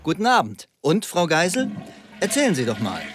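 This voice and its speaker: An actor playing a polished, faintly amused television news anchor in a satirical radio play. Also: male voice, a little high, wildly swooping pitch, moderate pace, a bit bright, husky, full character voice. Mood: composed. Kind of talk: parody TV news presentation.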